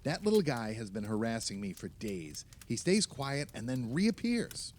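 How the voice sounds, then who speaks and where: Man acting older male novelist, fretful, self-doubting, hesitant, lively pitch, audio drama, faint background noise. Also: moderate pace, close to an everyday voice. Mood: agitated. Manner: hesitant, self-deprecating, prone to rambling complaint. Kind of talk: audio play acting, naturalistic conversation.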